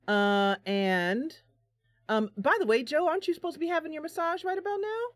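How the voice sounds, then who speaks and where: A woman's voice: sits high, moderate pace, dry recording, studio quality. A woman hosting a live stream, chatting casually with viewers in a bubbly, talkative way. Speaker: live stream host, gamer.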